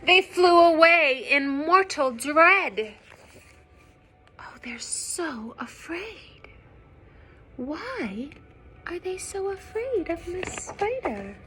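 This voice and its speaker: A woman reading a picture book aloud to young children, expressive and gentle, often dropping into hushed asides to her listeners. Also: extremely high pitch, drawn-out words, pauses often. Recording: some background noise.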